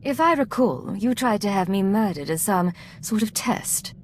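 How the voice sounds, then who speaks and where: An actress playing a composed, assured female secret agent in a video game, measured and professional. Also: mid-range voice, expressive, a little hiss or hum, close to an everyday voice. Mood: guarded.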